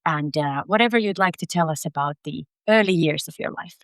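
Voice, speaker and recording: A woman's voice: low voice, brisk, fairly bright tone, no room sound, studio quality. A woman hosting a podcast, friendly and encouraging as she welcomes and questions her guest. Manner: friendly, encouraging, laughs often. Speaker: podcast host. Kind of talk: podcast interview.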